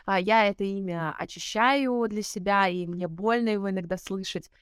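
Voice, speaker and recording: A woman's voice: mid-range voice, moderate pace, clean tone, no room sound, studio quality. A woman in her twenties, a guest in an interview, talking reflectively with many fillers and restarts. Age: 20s. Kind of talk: casual interview talk.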